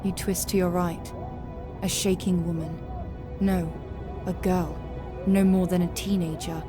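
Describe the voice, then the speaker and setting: Low, even-toned woman narrator over music. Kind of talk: scripted dramatic storytelling in second person.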